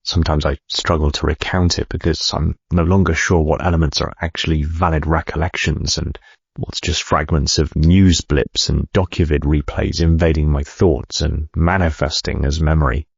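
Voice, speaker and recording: Give a very deep, husky corporate boss reading his memoir like an audiobook. He speaks in a measured, reflective way. The sound is close, dry and a little dull. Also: fairly even intonation, no room sound, heavily acted. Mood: haunted, reflective. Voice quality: gravelly, husky. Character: adult male corporate CEO reading his own autobiography. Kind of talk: scripted audiobook narration.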